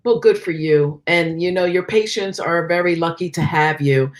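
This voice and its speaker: Woman, interview host, chatty, affirming, lower pitch. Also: normal speed. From United States. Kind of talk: interview conversation.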